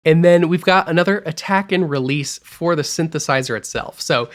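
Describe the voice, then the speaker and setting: A man teaching a music software tutorial in a relaxed, explanatory voice-over, offering mild opinions as he goes. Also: on the high side, moderate pace. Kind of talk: screen-recorded software tutorial. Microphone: voice-over over screen capture.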